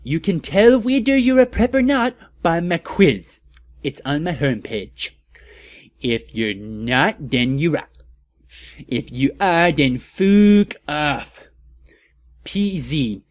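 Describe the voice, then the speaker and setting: A man's voice: a little high, expressive, slow, dry recording. A man giving a dramatic reading of amateur fan fiction.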